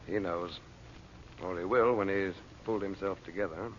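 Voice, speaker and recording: An actor playing a bold, confident magician uncle in an old-time radio adventure serial, commanding and reassuring. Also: male voice, low voice, steady tone, moderate pace, close to an everyday voice. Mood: firm, calm. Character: bold, self-assured magician and uncle, planning a dangerous disguise. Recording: dull, noisy old radio recording.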